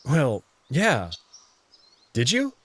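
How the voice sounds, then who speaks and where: Man as a bewildered narrator, lively pitch. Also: drawn-out words, no room sound, heavily acted. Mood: stunned, hesitant. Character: bewildered young human man narrating his own story. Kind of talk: first-person visual novel narration and dialogue.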